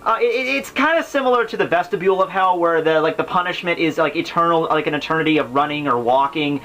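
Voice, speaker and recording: A man's voice: on the high side, quick pace, fairly bright tone, soft background music. A man giving casual, rambling commentary on a classic book as host, informal and slangy, with profanity and joking asides.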